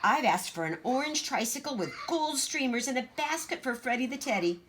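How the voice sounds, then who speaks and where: Chatty, boastful little girl, acted by a woman, high and very animated, for a children's story. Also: fairly quick, slight background noise. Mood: excited chatter. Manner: gushing, emphatic, know-it-all.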